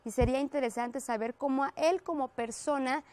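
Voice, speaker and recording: A woman radio host with a Mexican accent, briskly reading listener calls and interjecting during a call-in interview. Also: high-pitched, darkish tone.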